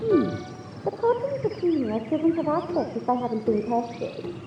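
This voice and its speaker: A woman acting as a playful artificial mind in a sci-fi comedy drama. The voice is high and bouncy, teasing and carefree, ringing with echo over music. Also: big pitch swings, normal speed, lo-fi sound. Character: carefree, teasing female self-aware computer mind.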